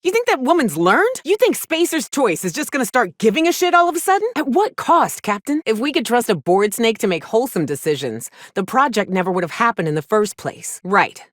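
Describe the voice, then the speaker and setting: A woman's voice: fairly high pitch, big pitch swings, fairly quick, hardly any pauses, no echo, studio quality. A woman playing a weary, cynical but caring frontier woman in a video game, blunt and plainspoken with folksy, sweary talk. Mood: indignant. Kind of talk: video game character dialogue.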